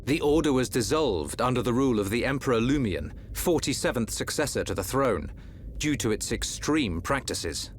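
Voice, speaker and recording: A man's voice: mid-range voice, lively intonation, normal speed, slight background noise, close to an everyday voice. A man portrays a dignified prince in a video game cutscene. His voice sits mid-range. He is formal, exact and composed, like a royal advisor. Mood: measured. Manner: formal, precise, courtly.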